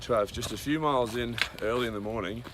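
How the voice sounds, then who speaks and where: A man's voice: medium pitch, fast, noisy background. Rambling man vlogging outdoors. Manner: rambling, many ums and tangents. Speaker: long-distance hiker. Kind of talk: casual daily trail vlog. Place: outdoors on a cold trail.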